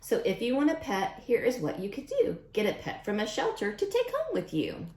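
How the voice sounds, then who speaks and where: Animated woman reading aloud to children. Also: on the high side, normal speed, roomy sound. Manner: expressive, addresses young listeners directly. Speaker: teacher reading a picture book aloud to children. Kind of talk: read-aloud storytime for young children with chatty asides.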